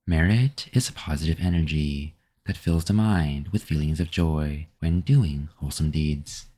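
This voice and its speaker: A man with a very deep, soft-edged, mellow voice guides a meditation. He reads scripted instructions calmly and gently. His phrasing is measured, with pauses that leave room to follow along.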